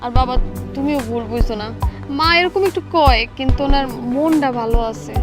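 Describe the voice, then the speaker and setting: A woman's voice: high voice, a little fast, over music, natural voice. A woman playing a long-suffering young village daughter-in-law in a TV drama, plaintive and pleading, with a rural Bangladeshi accent. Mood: anxious, pleading. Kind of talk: melodramatic Bangla TV drama acting.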